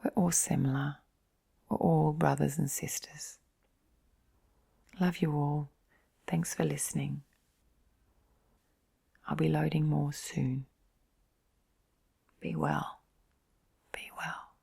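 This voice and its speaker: A middle-aged woman in a soft, whispery, breathy voice, giving a gentle, reflective bedtime chat in an intimate ASMR-like style. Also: deep voice, flat delivery, slow, long pauses. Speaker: host of relaxation and sleep channel.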